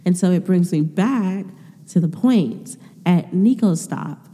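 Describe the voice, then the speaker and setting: Woman podcast host with a blocked nose, dark low voice, slow rambling delivery, reverb on the recording. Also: expressive, breathy edge. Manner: rambling, many filler words. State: stuffy nose, sniffling. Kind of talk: casual faith talk monologue. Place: her room.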